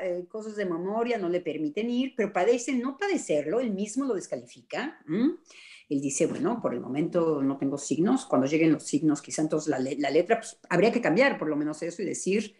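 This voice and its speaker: A Mexican woman talking in a casual discussion among colleagues, animated and ironic, with many hesitations. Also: fairly low pitch. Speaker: discussion participant.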